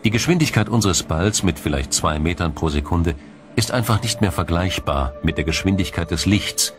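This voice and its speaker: A man narrating a scripted documentary in a measured, explanatory storytelling manner. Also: deep voice, lively intonation. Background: music.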